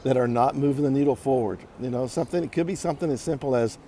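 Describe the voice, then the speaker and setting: A man giving a casual motivational monologue as a vlog host while walking outdoors, deliberate and repeating phrases for emphasis. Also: mid-range voice, dark tone. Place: outdoors, walking on city streets. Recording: clear outdoor street noise.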